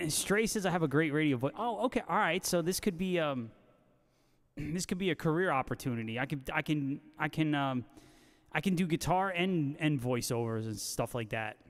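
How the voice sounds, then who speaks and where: A man's voice: on the high side, animated, moderate pace. A man hosting a casual guitar livestream, reading out viewer comments and bantering with joking, slangy talk. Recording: long hall-like reverb on voice.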